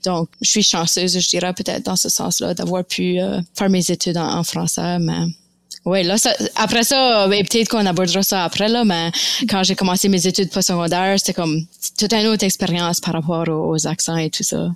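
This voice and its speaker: A woman's voice: on the low side, fairly even intonation, moderate pace, creaky, dry recording. A young woman with an Acadian French accent, talking reflectively as a podcast guest, with many fillers and restarts. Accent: Acadian French of Baie Sainte-Marie. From Acadian Nova Scotia. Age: young adult. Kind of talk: casual conversation.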